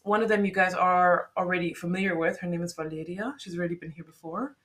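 A woman's voice: fairly low pitch, fairly even intonation, quick pace, a bit bright. A woman hosting a casual podcast, chatty and rambling, with lots of "like" and restarts. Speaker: podcast host. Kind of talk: casual chat.

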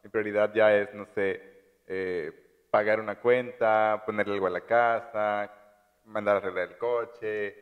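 A man from Chiapas, chatting relaxed on a podcast with lots of agreeing fillers. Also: lowish voice, a little slow, smooth, phone-quality sound. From Chiapas, Mexico. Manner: relaxed, many "ajá" fillers. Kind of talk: casual podcast chat. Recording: long room reverb.

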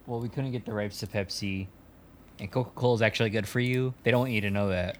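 A man's voice: on the low side, fast talker, a little hiss or hum, natural voice. A man playing a smug rich drug company executive. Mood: smug deadpan. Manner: deadpan, absurd, giggly. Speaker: podcast guest doing a bit.

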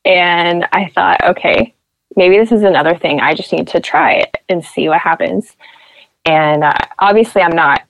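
A young woman from Nebraska with a flat Midwestern accent, chatting as a podcast guest and acting out quoted lines in a lifted voice. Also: lowish voice, gravelly, no room sound, poor audio. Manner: chatty, self-deprecating, acts out quotes with a lifted voice. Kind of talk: casual interview storytelling.

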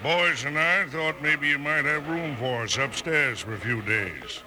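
An actor playing a tough, vengeful American outlaw gang leader in an old-time radio western, curt, commanding and menacing. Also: male voice, a little high, unhurried, crowd noise, natural voice. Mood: sly, vengeful. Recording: very noisy old radio recording with clicks.

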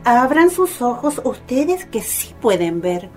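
Mother, high-pitched, kindly, expressive, radio drama, music behind. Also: slow pace. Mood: intrigued, confiding. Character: kindly, gently intrigued mother of the family.

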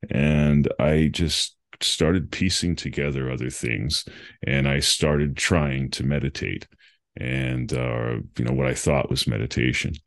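Deep, fry-heavy male podcast guest, middle-aged, thoughtful storyteller. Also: fairly even intonation, a little slow. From New England. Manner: thoughtful, rambling, with frequent fillers and laughs. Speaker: podcast guest, meditation coach. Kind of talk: reflective conversational storytelling.

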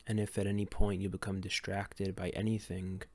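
A man leading a guided meditation in a gentle, calm, unhurried way with long pauses between phrases. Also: sits low, no echo. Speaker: meditation guide and series host.

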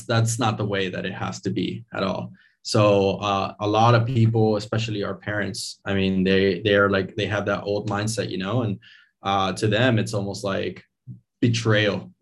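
A man in his thirties, from Venezuela and raised in the States, chats as an interview guest. His voice sits low and stays fairly flat. He rambles with plenty of fillers and restarts. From Venezuela, raised in Miami. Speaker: interview guest, digital nomad. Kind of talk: casual interview talk.

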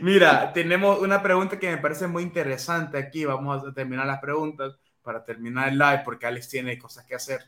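A man's voice: medium pitch, fairly even intonation, fairly quick, few pauses, sharp tone, echoey room. A man hosting a live football podcast, reading out viewer questions in a friendly way. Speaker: podcast host.

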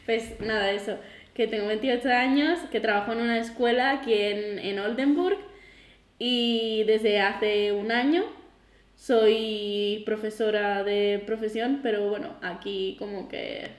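A woman's voice: mid-range voice, steady tone, measured pace. A woman in her late twenties from Girona, co-hosting a casual podcast, laughing easily and teasing in a dry way. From Girona, Catalonia, Spain. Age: 20s. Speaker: podcast co-host. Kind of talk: casual chat. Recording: echoey room.

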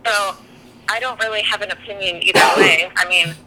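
A woman's voice: medium pitch, fairly quick, over a noisy crowd, low-quality recording. A woman guest talking candidly and easily in a podcast interview, an author and singer. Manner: chatty and candid, laughs easily.

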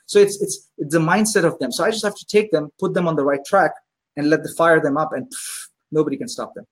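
A man's voice: on the high side, fast talker, breathy edge. A man who owns a pizzeria, chatting as a podcast guest and telling stories with enthusiasm, acting out what his customers say. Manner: enthusiastic storyteller, acts out customers' voices. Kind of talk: casual interview chat.

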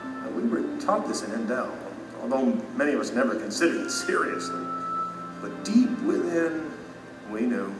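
An actor playing a humble, remorseful citizen in an audio drama, earnest and confessional, in an echoing hall. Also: male voice, medium pitch, big pitch swings, distant mic, close to an everyday voice. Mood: gently earnest. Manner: earnest, confessional, thoughtful pauses. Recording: echoey hall, music behind.

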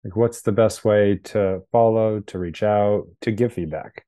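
A podcast host with a very low voice, mostly offering quiet murmurs of agreement and short, plain questions. The delivery is slow and the tone dark, recorded dry and close. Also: fairly even intonation, no echo. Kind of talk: interviewer backchannel.

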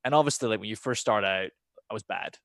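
A man's voice: lowish voice, fast talker, creaky, fairly bright tone, no echo. Scottish-American man in casual conversation. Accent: half Scottish, half American. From Scotland. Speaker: podcast guest, former pro gamer. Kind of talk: casual chat, personal storytelling.

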